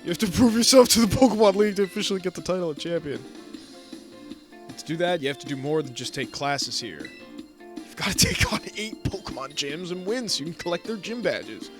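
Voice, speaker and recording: A man's voice: sits high, lively intonation, brisk, music in the background, voice pushed up. A man voicing a peppy, eager teenage girl battle champion, reading her lines aloud in an upbeat, bragging way while playing a game.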